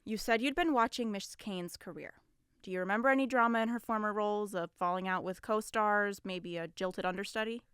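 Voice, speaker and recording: A woman's voice: mid-range voice, brisk, a bit bright, clear, resonant tone, no echo, clean studio sound, natural voice. A woman playing an earnest, guarded police interrogator in an audio drama, with a firm, businesslike and strained manner. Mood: firm, probing.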